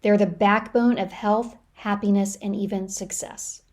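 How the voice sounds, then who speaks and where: A woman's voice: mid-range voice, fairly even intonation, measured pace, darkish tone. An American woman speaking solo as a podcast host, giving lightly scripted advice in a conversational, practical, explanatory way. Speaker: professional speaker.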